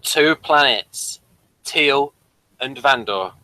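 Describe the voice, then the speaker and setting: A man's voice: medium pitch, drawn-out words, bright, forceful tone, dry recording, low-quality recording. A man hosting a casual, playful chat review of a TV episode. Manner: playful, bantering. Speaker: podcast host.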